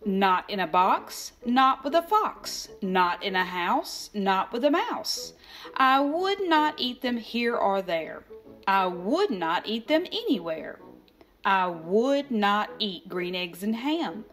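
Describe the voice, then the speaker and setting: A woman's voice: medium pitch, sing-song, slow, fairly bright tone, faint music behind. A woman as a grumpy, sing-song storybook grouch. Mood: grumpy refusal. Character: grumpy, stubborn storybook grouch refusing food, exasperated. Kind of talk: expressive children's picture book read-aloud.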